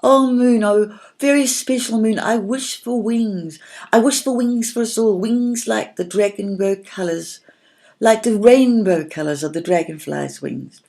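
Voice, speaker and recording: A woman's voice: mid-range voice, measured pace, gravelly, dark tone, pitched above the performer's own voice. A woman voicing an earnest, wishful little fairy in a storybook, calling out pleadingly. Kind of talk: storybook character acting.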